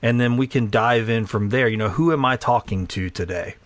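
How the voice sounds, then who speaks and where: A man hosting a podcast, reading a measured, poetic introduction in rhythmic lists, then casually asking his guest questions. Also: medium pitch, no echo. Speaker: podcast host. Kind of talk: scripted podcast intro and interview.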